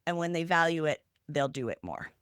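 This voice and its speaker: Low-voiced woman co-hosting a podcast. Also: big pitch swings, fairly quick, fairly bright tone, smooth, dry recording, studio quality. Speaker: podcast co-host. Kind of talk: conversational podcast talk.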